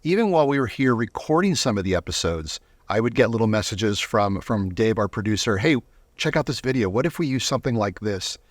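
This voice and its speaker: A man speaking casually in a conversational interview, telling things emphatically with some halting phrasing. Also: on the low side, expressive, dry recording, clean studio sound.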